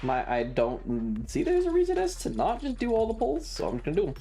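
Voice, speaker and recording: A streamer with a deadpan, sarcastic manner, giving casual gaming commentary and swearing, close to the microphone. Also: medium pitch, brisk. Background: loud game music.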